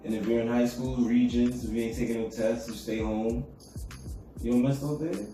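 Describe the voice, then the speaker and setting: A man, co-hosting a podcast in a casual, rambling chat at home, keeping his voice low. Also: fairly even intonation, normal speed, mellow. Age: adult with children. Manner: laid-back, rambling, talks low so as not to wake his sleeping son. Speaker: podcast co-host. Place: room at home. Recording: echoey room, distant mic, music.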